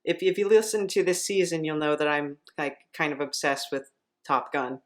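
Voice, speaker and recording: Female interviewer, American, low-pitched voice, informal conversational delivery. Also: normal speed. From San Diego, United States. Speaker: podcast host and interviewer. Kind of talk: casual chat interview.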